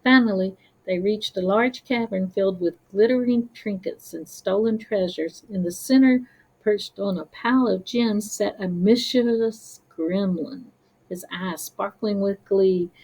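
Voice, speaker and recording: A woman narrating a children's story as a read-aloud, careful and expressive. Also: mid-range voice, unhurried, rough recording.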